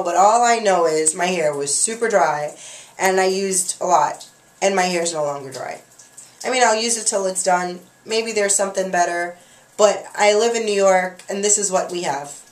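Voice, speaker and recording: A woman's voice: medium pitch, slight background noise. Chatty young woman, slightly nasal, echoey room. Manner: chatty, rambling, lots of fillers. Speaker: hair care vlogger. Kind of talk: casual chat to camera. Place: small bathroom at home.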